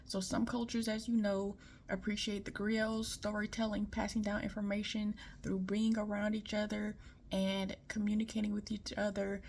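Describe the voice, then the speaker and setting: A woman from Detroit with a light Southern accent speaks in a casual, opinionated monologue. Her delivery stays fairly level in pitch, with a gravelly fry under many words. She sounds frank and conversational. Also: little pitch movement, normal speed, darkish tone, slight background noise. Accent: light Southern American. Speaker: commentator.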